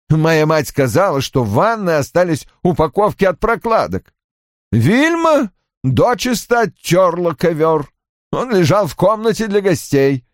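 A man's voice: high voice, no echo, clean studio sound, pitched above the performer's own voice. A man voicing a bitter, brusque older father in an audiobook, irritable and defensive, with cold, emphatic delivery. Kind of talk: audiobook character acting.

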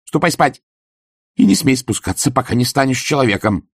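A man voicing an imperious, scornful aristocratic old baroness who scolds in a haughty, sharp manner. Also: medium pitch, wildly swooping pitch, moderate pace, creaky, slightly rough, no room sound, clean studio sound, voice pushed up, heavily acted. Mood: scolding. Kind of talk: audiobook character voice.

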